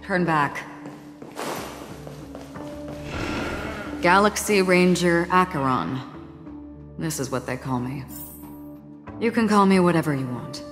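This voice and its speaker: A low-voiced, mysterious wanderer woman, speaks with calm, solemn melancholy in a video game. Long reverb trails her words, and faint music sits behind. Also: a little slow, pauses often. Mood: calm, distant. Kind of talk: video game character acting.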